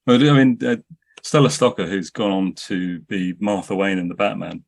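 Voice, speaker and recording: A man's voice: deep voice, moderate pace, gravelly. A man telling anecdotes as a filmmaker guest in a podcast interview, often restarting his sentences.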